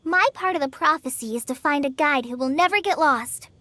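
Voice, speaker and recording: A woman voicing an earnest, timid and excitable young girl in a video game. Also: sits high, fairly quick, a little hiss or hum. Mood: earnest, resolute. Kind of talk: English video game voice acting.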